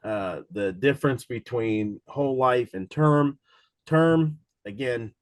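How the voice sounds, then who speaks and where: Raspy-voiced man teaching an exam class. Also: mid-range voice, slow pace, dry recording. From Cincinnati, USA. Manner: casual, rambling, many fillers and restarts. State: raspy from a cold. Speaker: insurance exam instructor. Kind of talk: live online study session.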